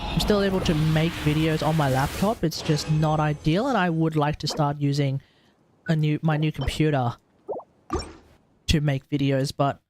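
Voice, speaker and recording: A man's voice: a little high, fairly even intonation, moderate pace, noisy background. An Australian man chatting casually as a game live streamer, rambling and often rewording himself as he goes. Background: game music.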